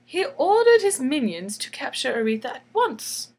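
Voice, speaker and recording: High, husky-edged woman telling a playful story. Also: very animated, normal speed, darkish tone. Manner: theatrical, tongue-in-cheek, giggly, repeats phrases for emphasis. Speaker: storyteller and illustrator. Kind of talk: playful bedtime story reading with chatty asides.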